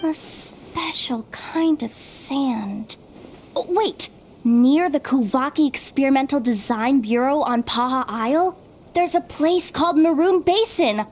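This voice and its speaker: A woman's voice: high voice, takes it slowly. A woman voicing a curious young traveling companion who asks questions, in video game dialogue. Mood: puzzled. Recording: game audio with soft music.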